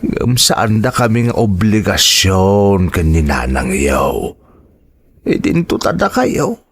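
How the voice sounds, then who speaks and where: A man with a Filipino accent playing an ailing, gentle but stubborn old father in an audio drama, with a husky voice. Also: sits low, sing-song, slow pace, full character voice. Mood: grateful, weary.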